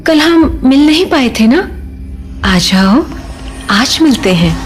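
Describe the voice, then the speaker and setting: A woman's voice: high-pitched, sing-song, slow pace, a little hiss or hum, heavily acted. A woman playing an undead village mother in a horror audio drama, sweetly coaxing and teasing as she lures people out. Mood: sweetly luring.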